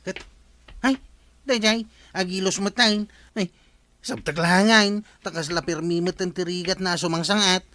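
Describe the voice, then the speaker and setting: A woman's voice: on the low side, steady tone, unhurried, fairly bright tone, no room sound. A woman voicing a straining village woman in a radio comedy drama, groaning with effort.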